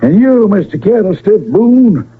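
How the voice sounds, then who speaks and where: Gruff, high-pitched old-West rancher. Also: male voice, big pitch swings, unhurried, faint music behind. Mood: grudging, bossy. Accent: American. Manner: gruff, drawling rustic talk. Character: gruff, suspicious father, stingy frontier rancher. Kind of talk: 1970s radio western acting. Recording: tinny, narrow old radio broadcast.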